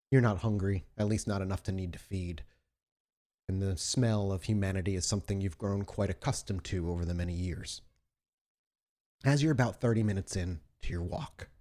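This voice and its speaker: A man narrating a tabletop roleplaying game as storyteller, in a dramatic, descriptive and deliberate way. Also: low voice, a little mellow.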